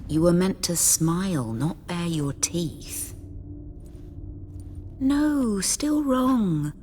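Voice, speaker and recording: A woman acting a devoted young noblewoman bride in a video game, gentle and wistful. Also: fairly low pitch, lively intonation, extremely slow, a little hiss or hum. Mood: coaxing, hurt.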